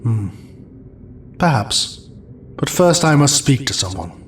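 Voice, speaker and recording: A man playing an ancient, solemn alien sage in an audio drama, speaking in a measured, deliberate way. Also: mid-range voice, big pitch swings, a little hiss or hum. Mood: pondering, grave.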